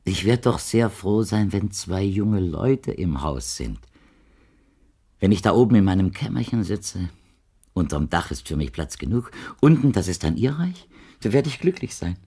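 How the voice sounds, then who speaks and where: Husky, swooping old blind dreamer. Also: male voice, deep voice, lively intonation, moderate pace, dark tone, full character voice. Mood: gently hopeful. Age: older. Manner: flowery, poetic, persuasive. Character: gentle, insistent old blind dreamer seeking companions. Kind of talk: German radio play acting.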